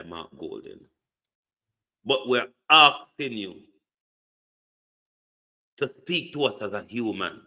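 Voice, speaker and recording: Man, political commentary, emphatic, slow delivery, bright tone. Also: medium pitch. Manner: emphatic, repeats the addressee's name, rhetorical questions. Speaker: online commentator.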